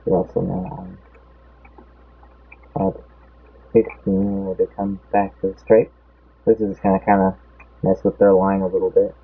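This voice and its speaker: A man's voice: low voice, monotone, a little slow, gravelly, pauses often, breathy edge, faint music behind. A young man casually commenting on a race, rambling and losing track of his count, his voice a bit off. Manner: rambling, loses track mid-sentence. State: voice feels off. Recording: tinny phone-like audio.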